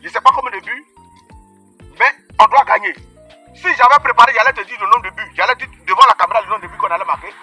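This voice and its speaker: A man with an Ivorian accent speaking outdoors at a village grave, addressing his ancestor in emphatic, chanting, repetitive calls, with a husky, strained voice. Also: sits high, quick pace, sharp tone, soft background music. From Ivory Coast. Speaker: self-described traditional practitioner.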